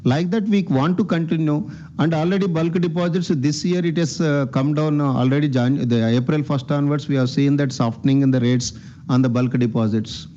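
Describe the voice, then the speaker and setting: A man's voice: a little high, steady tone, normal speed, mellow. An Indian man speaking as a bank executive on an earnings call, deferential and repeating himself as he answers. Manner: deferential, repeats himself, often says "sir". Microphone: conference call line. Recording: dull, band-limited conference call line.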